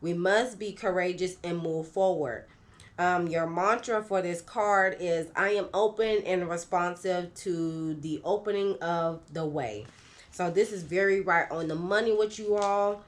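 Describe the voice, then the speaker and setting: A woman, congested and nasal from a cold, giving an unscripted tarot reading in a slow, halting way with many fillers. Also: fairly low pitch. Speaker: tarot reader.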